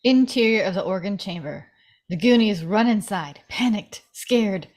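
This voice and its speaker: A woman's voice: medium pitch, animated, moderate pace, a little mellow. Female narrator for a table read: mid-range voice, reading scene directions.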